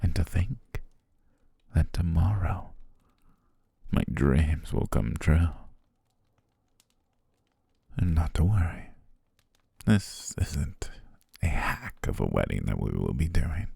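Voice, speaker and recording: A man playing a doting, obsessive butler and softly menacing fiancé in intimate ASMR roleplay, tender, measured and coaxing, close to the microphone. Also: a bass voice, very animated, dry recording, full character voice. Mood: dreamy devoted. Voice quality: breathy, husky, gravelly, often near whisper. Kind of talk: intimate ASMR roleplay, slow whispery romance acting. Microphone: close, dry studio microphone.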